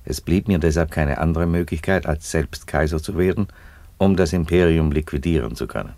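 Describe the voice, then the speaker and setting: An actor playing a middle-aged Roman emperor in a German radio play, weary and coolly ironic, with a deliberate delivery. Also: male voice, extremely low pitch, creaky, darkish tone, no echo. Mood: dry, reasoning. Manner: deliberate, unruffled, declarative.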